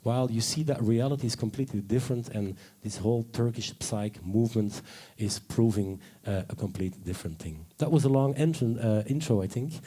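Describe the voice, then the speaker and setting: A man's voice: mid-range voice, fairly quick, few pauses, warm tone, slightly rough. A man moderating a live panel talk in front of an audience, rambling eagerly with many fillers and self-corrections.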